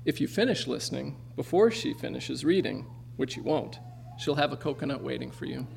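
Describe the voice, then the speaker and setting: A man's voice: medium pitch, animated, a little fast, creaky, background noise. A man hosting a story show, reading a speaker's introduction on stage with a dry delivery and awkward asides. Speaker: event host introducing a speaker. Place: performing arts center stage.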